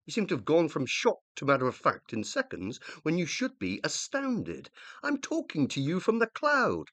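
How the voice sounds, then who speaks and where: A man acting a pompous, highly animated scientist. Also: normal speed, no echo, full character voice. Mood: affronted. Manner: pompous, theatrical, easily exasperated. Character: self-important dead male scientist, indignant, living on as uploaded consciousness. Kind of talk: radio play acting, monologue and dialogue.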